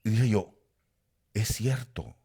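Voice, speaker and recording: Animated preacher with a Guatemalan accent. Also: male voice, slow, gravelly, breathy edge. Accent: Guatemalan Spanish. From Costa Rica. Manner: animated storytelling with sudden rises in pitch and volume. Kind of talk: sermon with personal anecdotes.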